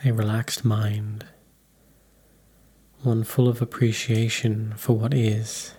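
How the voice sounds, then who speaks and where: A man leading a guided meditation in a calm, unhurried, soothing manner. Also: lowish voice, breathy edge.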